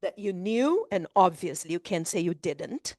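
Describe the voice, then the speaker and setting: A woman's voice: fairly low pitch, expressive, normal speed, darkish tone, no echo, clean studio sound. Candid woman flipper on a panel. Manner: candid, emphatic, community-minded. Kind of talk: seminar panel talk.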